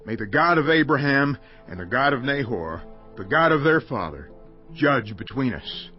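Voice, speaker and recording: An actor playing a stern, possessive older father and clan head in a dramatized audio Bible, speaking forcefully and solemnly. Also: medium pitch, big pitch swings, moderate pace, natural voice. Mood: solemn, warning. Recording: dull lo-fi audio with music behind.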